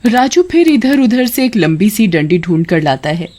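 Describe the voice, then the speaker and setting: Woman storyteller reading a scripted moral tale, medium pitched voice. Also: big pitch swings, moderate pace, warm tone. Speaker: story narrator.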